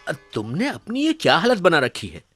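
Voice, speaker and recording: A man playing a kind, wealthy merchant in a cartoon story, speaking in a measured, caring and reassuring way. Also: on the high side, huge pitch swings, a bit bright, no room sound, clean studio sound, exaggerated voice. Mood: concerned, generous. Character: kind, wealthy merchant, loyal to his childhood friend. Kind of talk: Hindi cartoon story acting. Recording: music behind the voice.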